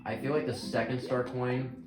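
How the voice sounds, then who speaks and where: A man's voice: medium pitch, little pitch movement, moderate pace. A man giving casual, rambling game commentary while losing his voice, often correcting himself mid-sentence. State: losing his voice, clearing throat. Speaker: gaming channel host. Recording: echoey room with game music. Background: game music.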